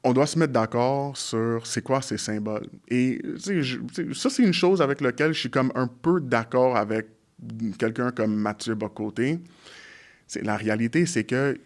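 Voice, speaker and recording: A man whose first language is English, speaking French as a guest in a conversational interview, often restarting his sentences and slipping into English phrases. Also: medium pitch. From Montreal, Quebec. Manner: halting, restarts often while searching for words. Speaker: guest, community organization leader.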